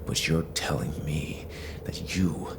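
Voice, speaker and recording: A bitter, stubborn vigilante acted by a man broods in a deep, heavily creaking voice. The delivery is slow, terse and grim, in the manner of a dark superhero audio drama. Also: steady tone, whispered, heavily acted. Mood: bitter, angry. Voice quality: low, gravelly.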